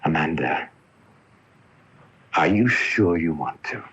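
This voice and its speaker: Deep, husky, brooding ghost. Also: male voice, lively intonation, fairly bright tone, heavily acted. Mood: solemn, beckoning. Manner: deliberate, dramatic, confessional. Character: remorseful male ghost of a violent, egotistical man. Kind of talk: 1980 radio drama acting. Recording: dull AM radio airing from 1980.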